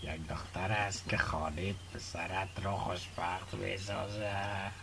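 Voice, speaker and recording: A man's voice: low voice, level tone, creaky, fairly bright tone, slight background noise. An actor playing a friendly, gossipy village man in a radio drama, speaking Dari with an Afghan accent. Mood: confiding.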